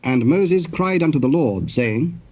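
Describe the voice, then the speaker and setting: A man narrating scripture from a script in a measured, formal and solemn manner. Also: a little high, expressive, dark tone. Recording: dull noisy tape, birds behind.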